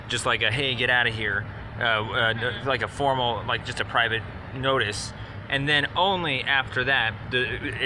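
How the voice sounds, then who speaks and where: A man speaking as a caller making an informal inquiry, explaining at length in a halting, rambling, wordy way. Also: mid-range voice. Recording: noisy phone call with outdoor traffic.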